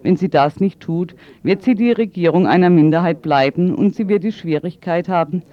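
A man's voice: high voice, normal speed. A man working as an interpreter, delivering a spoken translation of interview answers. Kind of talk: consecutive voice-over interpreting. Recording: slightly dull radio sound.

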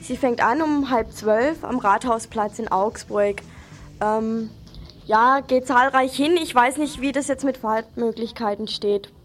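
A woman's voice: fairly high pitch, measured pace, slight background noise. A woman presenting an informal event announcement on the radio.

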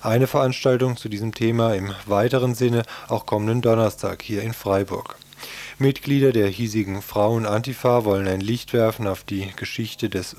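Sober man narrating, slightly low voice. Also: a little mellow. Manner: formal, sober. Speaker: radio reporter. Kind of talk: scripted documentary narration.

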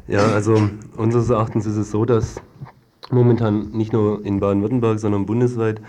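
A man's voice: on the low side, little pitch movement, a little fast, dark tone, a little hiss or hum. A young man answering informally in an interview as a student activist. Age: young adult.

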